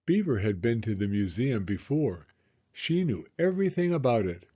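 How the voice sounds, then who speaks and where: A man reading a children's storybook aloud in an expressive, deliberate storytelling manner. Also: mid-range voice, slightly rough, highs cut off. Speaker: storybook reader. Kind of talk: children's read-aloud narration.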